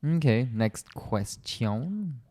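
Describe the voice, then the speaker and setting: A man's voice: mid-range voice, sing-song, very slow, dark tone. A young man from the Philippines co-hosting a casual couple's podcast, easygoing and joking, with loose run-on thoughts. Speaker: podcast co-host.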